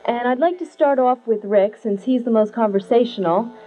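A woman's voice: a little high, normal speed, dark tone. A young woman interviewing a band on a handheld mic in a small curtained room, earnest and often laughing. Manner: earnest, laughs often, reads questions from notes. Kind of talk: live studio interview. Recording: tinny lo-fi late-70s video sound with mains hum.

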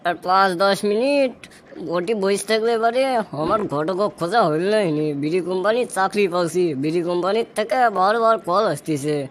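A man's voice: high voice, a little fast, slight background noise, natural voice. A man with a Bogra accent from northern Bangladesh voicing a brash, impatient young stoner desperate to marry in a comic cartoon, rambling, boasting and complaining. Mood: eager, musing. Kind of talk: comic dialect cartoon voice acting.

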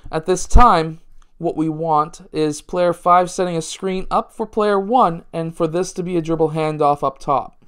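A man's voice: a little high, clean tone. A man, a basketball coach talking through plays step by step in a casual instructional way. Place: small indoor room at home.

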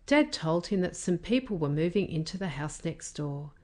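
A woman reading a children's story as a careful, expressive narrator. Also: on the low side, normal speed. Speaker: storybook reader.

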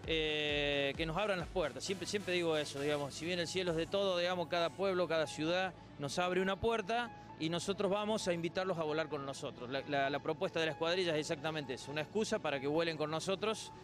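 A man's voice: fairly high pitch, fast talker, hardly any pauses, fairly bright tone. A middle-aged Argentine man giving a spontaneous interview outdoors into a handheld microphone. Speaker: aerobatic squadron director being interviewed. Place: outdoors at an airfield. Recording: outdoor interview with music over it.

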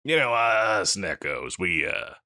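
A man playing a scruffy, insecure slacker catboy pet in a comedic audio roleplay, with a halting, mumbly dude drawl. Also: low voice, sing-song, sharp tone, no echo, clean studio sound, heavily acted. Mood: sheepish, insecure.

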